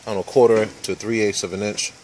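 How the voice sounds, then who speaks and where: A man in his forties giving a casual step-by-step how-to demonstration in a backyard, with relaxed fillers. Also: on the low side, brisk, slight background noise. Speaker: hobby boatbuilder. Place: backyard outdoors. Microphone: camera mic, handheld camera nearby.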